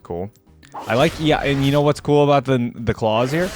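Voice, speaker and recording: A man's voice: mid-range voice, moderate pace, a little mellow. Casual man reacting, music behind him. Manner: informal, slangy, thinking aloud. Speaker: gaming reaction video host.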